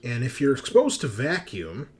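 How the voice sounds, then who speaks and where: A man's voice: medium pitch, wildly swooping pitch, normal speed, darkish tone. A man acting as game master, narrating casually and reading out rules with informal, dramatic emphasis. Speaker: game master of a tabletop roleplaying game.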